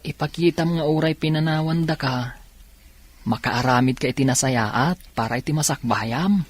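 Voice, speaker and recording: Man playing a husky, kindly young man. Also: on the high side, normal speed, close to an everyday voice. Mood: encouraging. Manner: sympathetic, earnest. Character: kind, encouraging young working male student. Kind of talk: radio drama acting.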